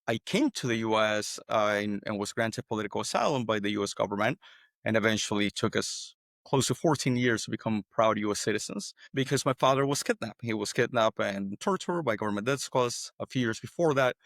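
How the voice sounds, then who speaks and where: A man's voice: on the low side, expressive, fairly quick, fairly bright tone, no room sound. Earnest man from El Salvador, dry close sound. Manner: earnest, repeats and restarts words, tags points with "right?". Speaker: podcast guest. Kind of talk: conversational interview storytelling.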